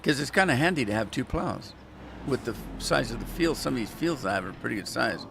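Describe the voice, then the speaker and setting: A man's voice: mid-range voice, expressive, brisk, vocal fry, a bit bright, a little hiss or hum. A man giving casual, rambling commentary while playing a farming game, chatting with viewers and joking in asides. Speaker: gaming channel host.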